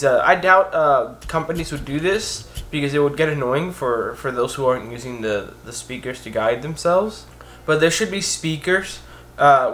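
Young guy vlogging straight to the camera, kinda high voice, gets worked up and goes higher when he makes a point. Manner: earnest, animated, often rises in pitch when making a point. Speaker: vlogger on blindness. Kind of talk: casual to-camera commentary. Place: indoors, a green-screen backdrop of store photos.

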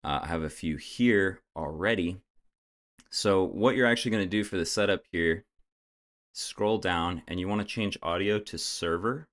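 A young man casually guiding viewers step by step through a software setup, with frequent "uh"s. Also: low-pitched, moderate pace. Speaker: tech tutorial host. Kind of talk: screen-recorded software tutorial.

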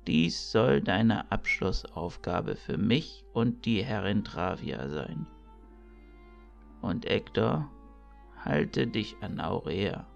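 Man playing a very gravelly old priest mentor. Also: on the low side, lively intonation, dull audio, heavily acted. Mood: solemn, kind. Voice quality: creaky, gravelly. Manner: slow, measured, ceremonial. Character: kindly old male priest mentor, solemn and caring. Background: music.

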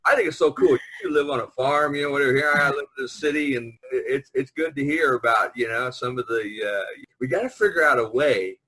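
A middle-aged man chats casually as host of an online call. His voice is slightly husky at an ordinary pitch, and he rambles, halting and circling back over his words. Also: pushed and bright, rough recording. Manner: rambling, halting, repeats himself. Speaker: podcast host. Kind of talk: casual group chat. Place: online video conference call.

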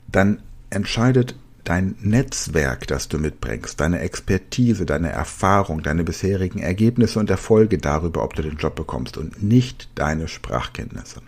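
Husky-voiced German male podcast coach. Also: sits low, darkish tone. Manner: coaching, direct address, conversational asides. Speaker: podcast host and language trainer. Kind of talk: solo podcast monologue.

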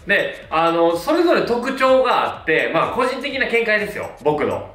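A man in his thirties, a hairstylist, casually reviewing hair products with a relaxed, filler-heavy manner. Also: high-pitched, moderate pace, recorded from a distance. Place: small plain indoor studio. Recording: roomy sound, mic set back, faint music.

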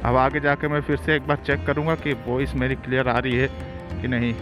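A man's voice: mid-range voice, little pitch movement, brisk, music in the background. A young man chatting casually to viewers while riding a motorbike on open roads. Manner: chatty, addresses viewers as guys. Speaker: motovlogger. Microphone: helmet-mounted phone.

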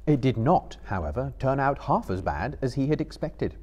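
Husky, expressive male storyteller. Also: medium pitch. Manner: measured, dramatic, literary. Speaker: storyteller, reader. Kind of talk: live dramatic audiobook-style narration. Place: indoor small stage before live audience.

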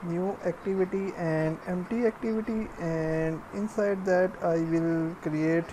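A woman with a fairly low voice presents a programming screencast at a very slow pace. She speaks in short, halting pieces, walking through each step. Faint noise sits behind her. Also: fairly even intonation. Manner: halting, piecemeal phrasing while typing. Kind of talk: step-by-step screencast narration of coding.

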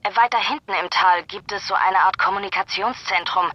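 A woman's voice: mid-range voice, a little fast, highs cut off. An actress playing a cool female military radio operator in a video game, clipped and dryly teasing, heard over a radio. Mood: brisk. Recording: noisy military radio link.

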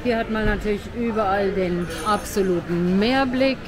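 A woman's voice: mid-range voice, normal speed. A woman vlogger chattily narrating a casual walking tour along a seaside promenade, pointing out sights as she goes. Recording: busy crowd noise behind. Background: crowd.

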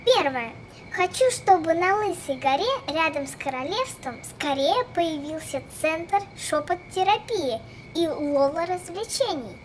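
Casting: woman playing bossy cartoon diva girl, very high pitch, animated, imperious and pampered. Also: measured pace, slight background noise. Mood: commanding. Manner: imperious, self-important. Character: spoiled, bossy rich diva girl doll. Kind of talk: children's cartoon dubbing.

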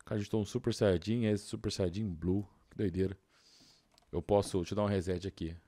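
A man's voice: low voice, steady tone, a little fast, dark tone, dry recording. A Brazilian man doing casual gameplay commentary, informal and slangy, thinking aloud into a close mic. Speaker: gaming YouTuber.

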